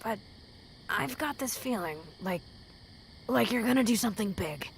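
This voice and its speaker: Woman acting creaky-voiced world-weary ghoul drifter. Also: a little high, animated, moderate pace, a little hiss or hum, rough recording. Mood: earnest warning. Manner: blunt, earnest advice-giving. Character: world-weary, tough female ghoul drifter, blunt but protective mentor. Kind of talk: fan audio drama acting.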